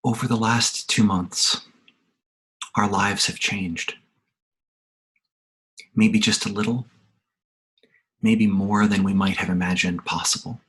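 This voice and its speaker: Low, husky man leading a guided meditation. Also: fairly even intonation, poor audio. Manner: slow, quiet, soothing, with long pauses. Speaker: meditation leader, religious organization secretary. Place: remote video call. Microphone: video call.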